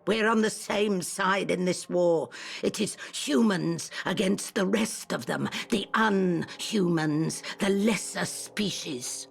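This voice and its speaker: A woman voice acting a defiant, menacing middle-aged peasant mother with a gravelly voice in a video game. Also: fairly low pitch, takes it slowly, few pauses. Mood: defensive, defiant. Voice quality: gravelly, creaky. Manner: defiant, scolding, hissing emphasis. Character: menacing, sanctimony-hating murderous peasant mother.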